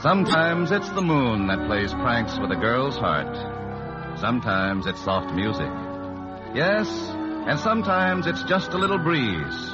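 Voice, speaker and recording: Animated 1940s radio announcer man. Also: mid-range voice, a little slow. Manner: theatrical storyteller delivery. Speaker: announcer and narrator. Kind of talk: 1940s radio scripted narration. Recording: muffled old radio broadcast. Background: loud music.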